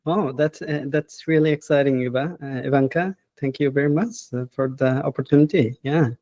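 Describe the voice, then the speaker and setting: A man, a professor talking as an interview guest in an unhurried, hesitant way and often adding "right?". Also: mid-range voice, warm tone, no room sound, highs cut off. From Bangladesh. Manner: unhurried, hesitant, with frequent "uh" and tag questions like "right?". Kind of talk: casual interview.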